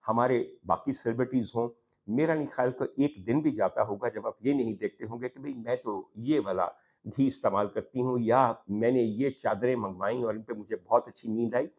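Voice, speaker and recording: A man's voice: mid-range voice, moderate pace, darkish tone. Pakistani man delivering an emphatic opinion monologue. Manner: rhetorical questions, emphatic repetition, formal address to viewers. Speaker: talk show host. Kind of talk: opinion monologue to camera on social issues.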